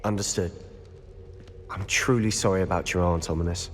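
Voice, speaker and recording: A man's voice: low-pitched, steady tone, normal speed, slight background noise, lightly acted. A man playing a bold, bookish, earnest teenage wizard schoolboy in a video game. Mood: contrite. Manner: eager, earnest. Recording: echoing hall, music underneath.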